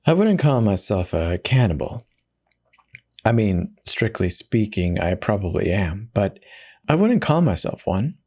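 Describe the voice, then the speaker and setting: A man's voice: lowish voice, sing-song, measured pace, darkish tone, exaggerated voice. A man voicing a self-justifying, conversational narrator who casually confesses in a first-person dramatic horror reading. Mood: casual, defensive. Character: confessional devout man turned self-eater, casually rationalizing.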